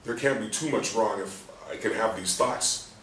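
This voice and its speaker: A man acts out a frustrated patient surfacing from a coma in a stage play. He has a lowish voice and urgently berates himself, muttering and bursting out. It is caught at a distance in an echoey room. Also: normal speed, fairly bright tone, natural voice. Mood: frustrated, brooding. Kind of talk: stage play reading, inner monologue. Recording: roomy distant stage recording, faint noise.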